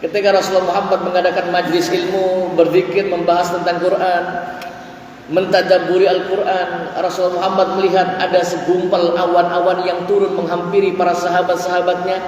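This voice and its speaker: High-voiced man preaching in an echoing mosque. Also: monotone, a little fast, darkish tone, a little hiss or hum. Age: 40s. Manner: stretches phrases, quotes Arabic verses. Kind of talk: dawn mosque sermon. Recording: echoey hall, voice from far off.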